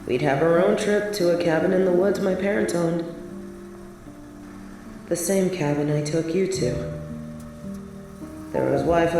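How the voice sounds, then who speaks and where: A woman acting a young biker on a date, wistful and defiant, confiding her memories in a scripted audio roleplay. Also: lowish voice. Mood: fond, wistful. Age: young adult. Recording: very echoey, distant sound with music behind. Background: music.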